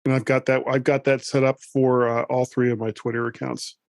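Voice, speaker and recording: A man explains his setup as a guest on a casual panel, brisk and matter-of-fact. His voice sits in a middle register. The sound is dry and close. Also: medium pitch, level tone, creaky, dry recording.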